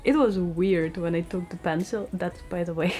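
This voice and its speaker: A young woman with a Russian accent, a native Russian speaker, narrating a casual lifestyle vlog in a chatty, relaxed way with small slips in English grammar. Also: fairly low pitch, moderate pace, soft background music. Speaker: lifestyle vlogger.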